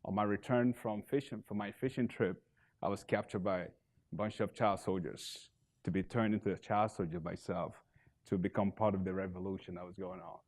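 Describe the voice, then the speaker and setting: Low-voiced Liberian man in his thirties; earnest founder pitch, rising to emphatic bursts. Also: steady tone, normal speed, a little mellow. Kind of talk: pitch presentation on stage. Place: event stage.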